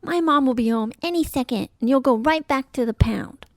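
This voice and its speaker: A woman's voice: brisk, no room sound, studio quality. A woman voicing a grumpy big orange male house cat in a storybook, screeching and hissing. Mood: grumbling. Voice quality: shrill screechy.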